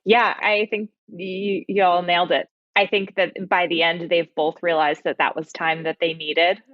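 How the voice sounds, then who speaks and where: A woman's voice: on the low side, level tone, a little slow, dry recording, muffled recording. A woman explaining her writing thoughtfully and conversationally as a playwright on an interview panel.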